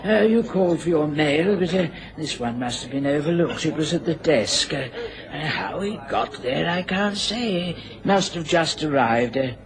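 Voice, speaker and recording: A deferential servant in a radio drama. His voice is rather high and hesitant, full of apologetic pauses, with clear crowd chatter behind him. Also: lively intonation. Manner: halting, apologetic.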